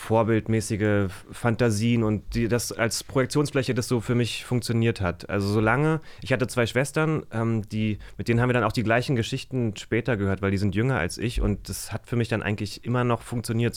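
A man's voice: fairly low pitch, fairly quick, few pauses. A man speaking as a guest in a casual interview, reflective and often searching for his words. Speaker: podcast guest.

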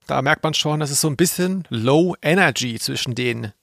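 A man's voice: on the high side, normal speed, gravelly, a bit bright, a little hiss or hum. A male podcast co-host giving casual, humorous commentary, explaining things with ironic understatement.

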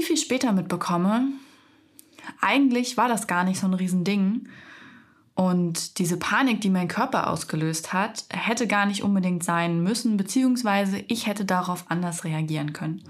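A young woman talks through a reflective podcast monologue at an unhurried, slow pace. Her voice sits in a middle pitch and sounds a little stuffy and nasal from a cold. She pauses often, gathering her thoughts with soft fillers. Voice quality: slightly nasal. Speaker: podcast host.

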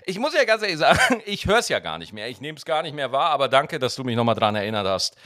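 A man with a rolled R, co-hosting a podcast in casual chat, playful and quick to laugh. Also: mid-range voice, no room sound, studio quality. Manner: animated, playful, laughs often. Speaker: podcast co-host. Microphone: studio microphone.

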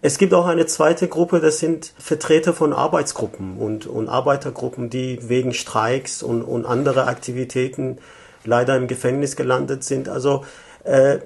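A man in his fifties from Iran, a native Persian speaker, answering interview questions thoughtfully with frequent pauses and restarts. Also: medium pitch, fairly even intonation, gravelly. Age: 50s. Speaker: interview guest, economic consultant.

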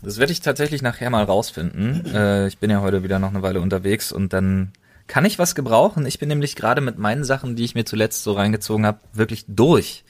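A man's voice: on the low side, animated, fairly quick. A man co-hosting a podcast, chatting casually and colloquially. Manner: colloquial, informal. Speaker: podcast co-host. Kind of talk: casual chat.

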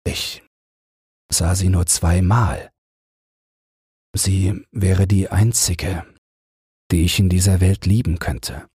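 A man voicing an affectionate young prince in a fairy tale audiobook, speaking in an earnest, tender, unhurried way. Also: deep voice, steady tone, darkish tone, slightly rough, dry recording, studio quality, exaggerated voice. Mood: fond, earnest.

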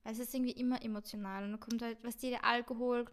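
A young woman co-hosting a podcast, with a fairly high voice. She chats in an easy, rambling way, full of little fillers and English slang. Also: level tone, very fast. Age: 20s. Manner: chatty, many fillers, mixes in English words. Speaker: podcast co-host. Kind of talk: casual chat. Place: Vienna.

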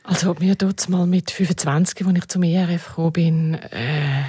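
A woman's voice: fairly low pitch, steady tone, normal speed, dark tone, slightly rough, no echo. A middle-aged or older woman with a Swiss German accent, reminiscing conversationally and emphatically as an interview guest. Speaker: podcast guest, former radio editorial head.

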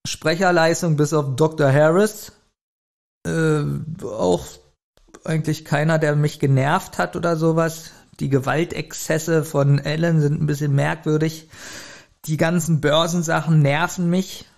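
A man with a fairly high, strongly creaky voice. He talks in a casual, conversational way, like a podcast co-host reviewing something. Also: fairly even intonation, a little slow.

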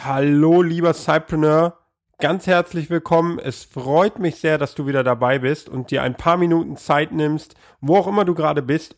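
A somewhat high-voiced man hosts a podcast while fighting a cold. His talk is upbeat and welcoming, and he asks his guest questions with small hesitations. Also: gravelly. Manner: upbeat, welcoming, hesitant with fillers when asking. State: has a cold. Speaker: podcast host. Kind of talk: podcast intro and interview.

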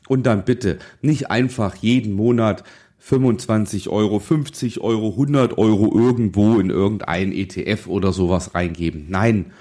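A man's voice: lowish voice, a little mellow. A man in his forties giving a motivational monologue as a financial advisor, addressing the listener directly in an insistent, exhorting manner with rhetorical questions. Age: 40s. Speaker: financial advisor and podcast host.

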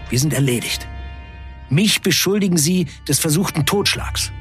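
A man playing a defiant 1970s factory worker on strike, recounting events in a deliberate, declamatory radio play narration. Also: fairly high pitch, expressive, a bit bright, soft background music. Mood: bitter, defiant. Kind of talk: dramatic first-person radio play narration.

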